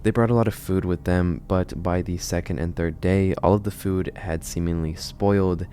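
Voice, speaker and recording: A man's voice: low-pitched, steady tone, moderate pace, dark tone, a little hiss or hum. A man hosting a video essay on a horror series, explaining it in a conversational way. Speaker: video host, narrator.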